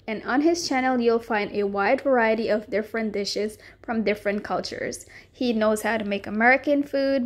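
A woman hosting her own cooking channel, giving a friendly, upbeat voice-over as she walks through a recipe step by step. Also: on the high side.

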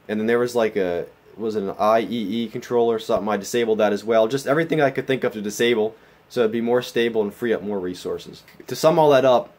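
A man's voice: medium pitch, darkish tone. A man with a bit of a cold, casually walking through his home computer build in a matter-of-fact way, filming with a phone. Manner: plain, matter-of-fact, with fillers like uh and um. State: getting a cold. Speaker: tech hobbyist vlogger. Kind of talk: casual show-and-tell walkthrough. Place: home room with a mining rig.

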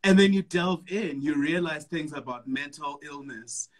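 A man's voice: a little high, normal speed. Reflective man on a video call. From South Africa. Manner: reflective, frequent affirmations. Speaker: co-host. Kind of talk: casual discussion on a livestream. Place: living room at home. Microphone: video call on phone or laptop.

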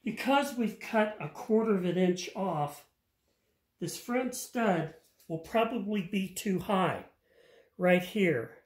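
A man's voice: sits high, lively intonation, slow pace. An older man explaining an engine rebuild in his home garage, talking in a plain, practical way and repeating words as he works. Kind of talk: hands-on how-to explanation. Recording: echoey garage.

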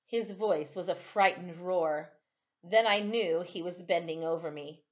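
A woman's voice: fairly low pitch, a little slow, dull audio. A middle-aged woman reading a children's novel aloud expressively, like a teacher at storytime. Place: small room at home, indoors.

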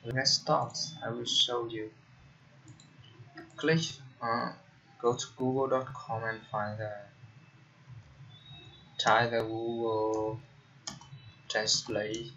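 A young man with a Vietnamese accent speaks slowly in a flat, low-pitched voice with a bright edge, halting often on fillers as he walks through a tutorial. He is recorded at his computer on a dull, lo-fi mic with a faint hiss underneath. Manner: halting, frequent "uh" fillers and restarts. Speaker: tech tutorial host. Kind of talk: casual screen-recorded tutorial. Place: indoor room at a computer.

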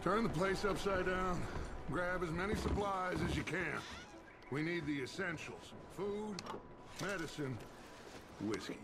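High-pitched commanding outlaw leader, music behind. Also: male voice, unhurried. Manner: theatrically polite, then barking orders. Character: commanding, smooth-talking male outlaw gang leader of the Old West. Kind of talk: video game voice acting. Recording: music and horse sounds behind.

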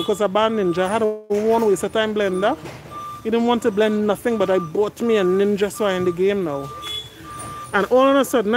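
A woman's voice: mid-range voice, low-quality recording. A middle-aged woman, chatting to viewers and reading prices aloud while shopping in a big warehouse store, filmed on a phone. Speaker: vlogger. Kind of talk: casual shop-with-me chat. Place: large warehouse store, indoors. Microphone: phone camera held while pushing a cart.

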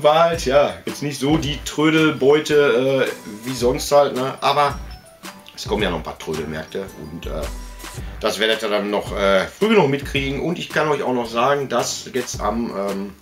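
A man's voice: mid-range voice, normal speed, soft background music. A man, chatting casually in a rambling, colloquial way that often interrupts itself. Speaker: video host showing collectibles. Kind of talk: casual vlog chat. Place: indoor room full of collectibles.

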